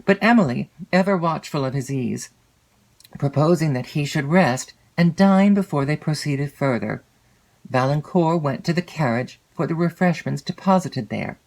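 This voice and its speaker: A woman reading literary fiction as an audiobook narrator, in a measured, expressive storytelling manner. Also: low voice, low-quality recording. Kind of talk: scripted literary narration of a classic novel.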